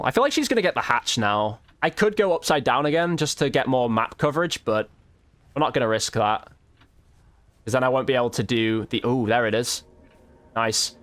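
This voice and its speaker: A man's voice: mid-range voice, fairly bright tone. A man giving casual gameplay commentary, informally thinking aloud as he plays. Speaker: gaming video creator.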